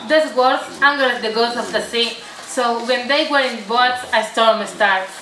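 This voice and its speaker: A woman's voice: a little high, unhurried, fairly bright tone. Young woman narrating in an echoey room. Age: young adult. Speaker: student narrator. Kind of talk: scripted story narration. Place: indoor room at a day centre. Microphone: far camera. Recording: noisy echoey room, distant camera mic.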